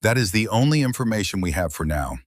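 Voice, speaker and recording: A man's voice: lowish voice, very animated, quick pace, no echo, clean studio sound. A man playing a television news anchor in an audio drama, with a formal newsreader delivery. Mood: composed, formal. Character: male TV news anchor, composed, easily alarmed. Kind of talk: scripted evening news read.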